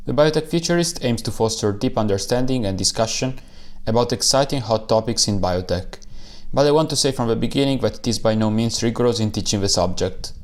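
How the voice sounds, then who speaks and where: A man's voice: mid-range voice, brisk. Earnest young male podcast host. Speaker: podcast host, PhD student. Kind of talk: scripted podcast intro then conversation.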